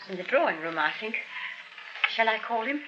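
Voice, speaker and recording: A woman's voice: a little high, animated, fairly quick, noisy background, lightly acted. An actress playing a fussy, flustered landlady in a 1940s radio play. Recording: dull old radio broadcast.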